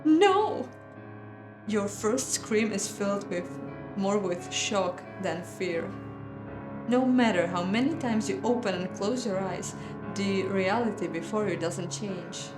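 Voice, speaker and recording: A woman hosting a game playthrough, reading a visual novel aloud in a measured dramatic way with casual, hesitant asides. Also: medium pitch, fairly even intonation. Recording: roomy echo, music behind.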